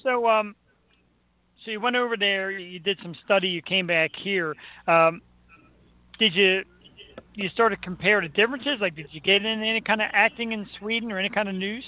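A man's voice: high voice, steady tone, moderate pace, no echo. A man hosting a talk show, asking questions in a joking, laid-back way. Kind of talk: casual interview chat. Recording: tinny phone-like line, dry.

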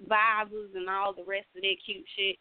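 A woman's voice: mid-range voice, level tone, moderate pace, no echo. A woman hosting a radio talk show, chatting casually in slang and thinking aloud with lots of fillers. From United States. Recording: tinny phone-line sound.

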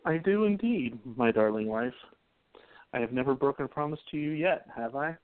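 A man's voice: mid-range voice, lively intonation, normal speed, natural voice. A man playing a devoted young newspaperman in a 1920s radio romance, speaking in an emphatic, romantic way. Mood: tender. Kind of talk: radio play acting. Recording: tinny phone-like line.

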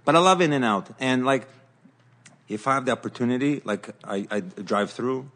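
A man's voice: medium pitch, moderate pace. A man from southern Africa, raised in Israel, chatting as a pitmaster guest on a podcast, telling his stories with animation and frequent laughs. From born in southern Africa, raised in Israel. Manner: animated storyteller, laughs often. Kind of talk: casual podcast chat.

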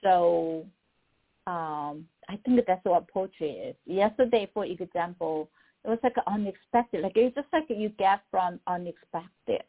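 A middle-aged woman with a Korean accent, a poet speaking as a guest in a casual interview, halting and searching for words. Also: on the low side. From South Korea. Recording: tinny phone line.

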